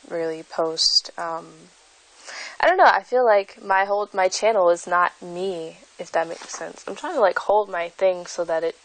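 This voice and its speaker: A woman's voice: on the low side, no echo, poor audio. A young woman vlogging from home, rambling and self-conscious with frequent giggles, filmed on a phone held at arm's length. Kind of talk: casual rambling vlog.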